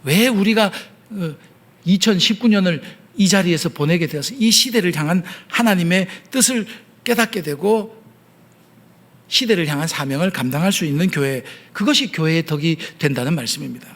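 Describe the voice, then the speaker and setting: A man with a Gyeongsang accent preaching a sermon as the pastor, earnest and explaining step by step, often pausing on fillers. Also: high voice, a bit bright. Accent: Gyeongsang Korean. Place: church sanctuary.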